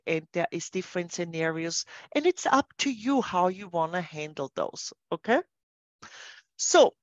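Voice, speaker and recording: A woman giving an informal software demonstration as a trainer, explaining in a conversational way. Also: fairly low pitch, dry recording. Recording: dull, dry, lo-fi voice track.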